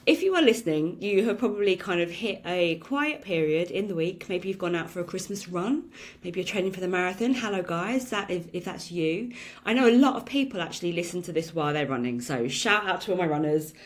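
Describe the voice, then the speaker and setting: A woman hosting a solo podcast, talking in a chatty, reflective way with lots of "um"s and a slightly croaky voice. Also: on the low side. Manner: chatty and reflective, many "um" fillers, laughs now and then. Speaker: podcast host.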